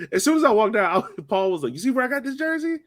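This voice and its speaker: A man's voice: very high voice, very animated, fast talker, fairly bright tone. Casual man hosting a sports podcast. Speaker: podcast host.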